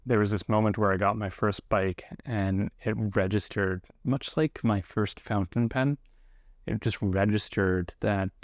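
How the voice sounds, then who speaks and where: A middle-aged man talking reflectively and casually to viewers as a video host, unhurried and often pausing mid-sentence. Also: low-pitched, no echo, clean studio sound. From grew up partly in South Korea, living in Canada.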